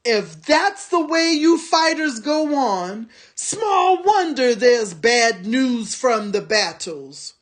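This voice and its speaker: A woman's voice: fairly high pitch, very animated, slow, hardly any pauses. A woman voicing a small, sly male orc tracker who snarls and whines. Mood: snarling, complaining. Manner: snarling, whining.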